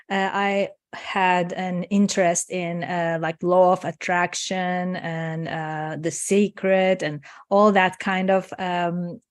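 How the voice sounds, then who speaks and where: A middle-aged woman from Turkey, a coach and meditation teacher, speaking warmly on a radio interview, laughing easily and pausing with many fillers. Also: medium pitch, no echo, clean studio sound. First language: Turkish.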